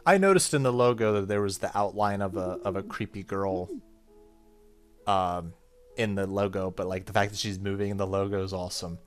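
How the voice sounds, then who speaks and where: A man's voice: sits low, steady tone, brisk. A man playing a horror game, reading its story text aloud dramatically and commenting casually. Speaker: gaming YouTuber. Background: game music.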